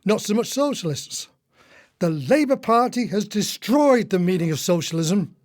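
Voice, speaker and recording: Actor as exasperated retired husband, ranting. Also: male voice, high voice, animated, moderate pace. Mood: indignant, exasperated. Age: older. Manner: long-winded, indignant. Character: retired architect husband, disillusioned old-fashioned socialist, ranting and exasperated. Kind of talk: radio play acting.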